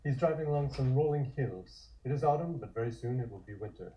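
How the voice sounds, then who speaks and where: A man reading stage directions as scripted narration at a table read, measured and descriptive. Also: medium pitch, expressive, dark tone, slight background noise, poor audio.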